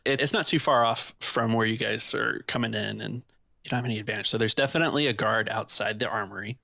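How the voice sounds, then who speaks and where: A man's voice: lowish voice, fast, creaky, pushed and bright, no room sound, clean studio sound. A man running a tabletop role-playing game, narrating scenes and rulings in a casual, improvised way. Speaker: game master.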